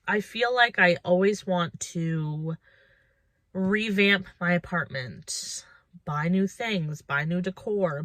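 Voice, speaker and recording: A woman's voice: medium pitch, a bit bright, no room sound. A woman of about thirty chatting casually and reflectively to her viewers as a lifestyle vlogger, informal and thinking aloud.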